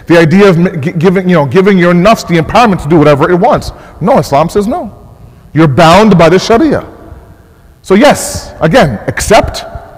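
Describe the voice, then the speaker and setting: Impassioned American man preaching in a hall. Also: fairly high pitch. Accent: American English. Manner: impassioned, repeats and restarts phrases. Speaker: mosque speaker. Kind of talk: sermon. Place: mosque hall. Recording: long hall reverb.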